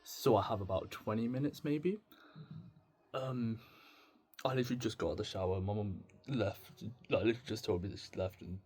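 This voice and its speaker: Young British man, rambling and halting. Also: fairly low pitch, a little mellow, slightly rough. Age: young adult. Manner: rambling, halting, self-deprecating. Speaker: video blogger. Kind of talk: casual confessional vlog. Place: indoors at home with music on in the background.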